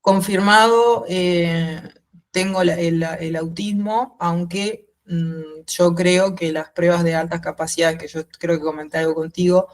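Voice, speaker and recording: A woman in her thirties with a mixed Uruguayan and Spanish accent, speaking reflectively and haltingly as a guest in an informal interview. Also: lowish voice, fairly even intonation. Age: 30s. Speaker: podcast guest. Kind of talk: informal interview, personal account.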